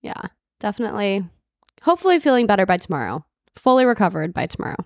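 A woman in her twenties co-hosting a podcast in a relaxed, friendly chat. She laughs along with her co-host. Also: medium pitch, fast, no echo, studio quality. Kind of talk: casual chat.

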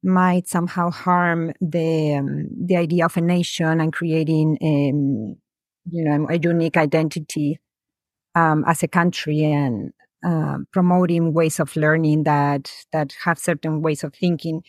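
A woman from Spain, a researcher answering interview questions in a measured, thoughtful way, pausing often. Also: sits low, flat delivery, a little mellow, dry recording, studio quality. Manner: measured, thoughtful, frequent "um" pauses. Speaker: guest, education researcher.

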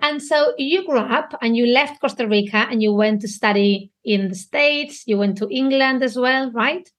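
A woman's voice: fairly high pitch, moderate pace. A woman hosting a podcast interview, chatting casually and affirming her guest often. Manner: warm affirming, frequent "mm" and "yeah". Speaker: podcast host.

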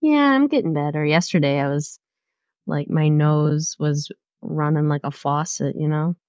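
Low-voiced young woman with a cold, kinda nasal, rambly and giggly, dry muffled mic. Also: normal speed, darkish tone, no echo. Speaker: podcast co-host. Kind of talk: casual chat. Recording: slightly muffled lo-fi audio.

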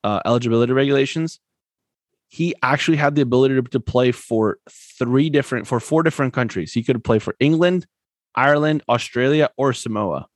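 A man of middle pitch co-hosts a relaxed sports podcast, chatting easily and laughing often. His voice sits close and dry, with little room sound around it. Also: a little fast, no room sound. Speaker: podcast co-host. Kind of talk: casual sports chat.

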